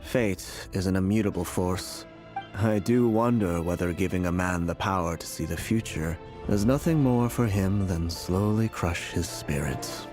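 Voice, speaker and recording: An actor playing a weary, fatalistic blinded prophet in a video game, grave, deliberate and eloquent in his delivery. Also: low voice. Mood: resigned, solemn. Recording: game dialogue with music behind.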